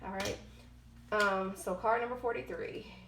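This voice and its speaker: A woman giving an informal tarot and oracle card reading, partly read aloud, halting and often correcting herself as she goes. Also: mid-range voice, steady tone, background noise. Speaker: tarot card reader, host. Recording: roomy, echoing sound.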